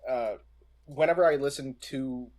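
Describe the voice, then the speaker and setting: A man with a medium-pitched voice speaks as a co-host in a casual podcast discussion. He is deliberate and halting, often pausing partway through a sentence before carrying on. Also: darkish tone. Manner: halting, deliberate, many pauses mid-sentence.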